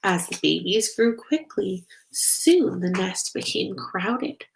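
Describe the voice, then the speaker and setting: A woman reading a children's picture book aloud, expressive and slow, stopping to ask the listeners questions. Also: medium pitch, vocal fry, rough recording. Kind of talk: children's read-aloud narration.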